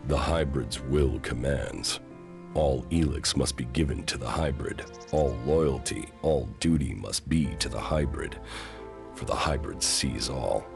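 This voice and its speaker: An actor playing a stoic, brooding exiled sci-fi commander with a gravelly voice and a terse, solemn manner in a video game. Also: male voice, a bass voice, exaggerated voice. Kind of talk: video game cutscene acting.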